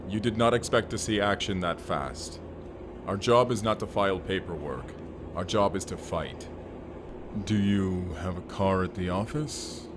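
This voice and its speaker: A man plays a gruff wasteland barbarian working as a hired fighter, with a very low voice. He speaks earnestly and literally in stiff, simple sentences, as in a cinematic audio roleplay. There is noticeable background noise. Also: a little slow. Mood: calm, friendly. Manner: stilted, literal, plain short sentences without contractions. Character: gruff, earnest wasteland barbarian turned corporate combat operative. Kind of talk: immersive first-person audio roleplay acting.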